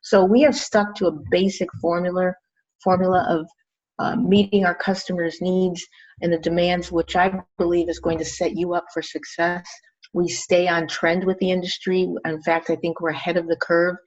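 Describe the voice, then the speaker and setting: Low-voiced woman executive on a muffled call. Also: level tone, moderate pace. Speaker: restaurant company chief executive. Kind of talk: business pitch on a recorded conference call. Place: recorded conference call. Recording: dull band-limited conference call audio.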